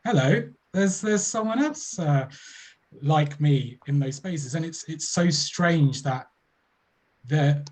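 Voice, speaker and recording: Thoughtful guy on a webinar panel, mellow husky voice, lots of ums. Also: fairly high pitch, lively intonation. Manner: thoughtful, measured, with many fillers. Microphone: video call.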